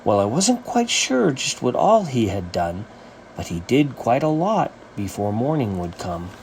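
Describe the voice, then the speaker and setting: A man reading a rhyming children's story as its author, with an animated, sing-song rhythmic delivery. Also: mid-range voice, measured pace, slight background noise, low-quality recording.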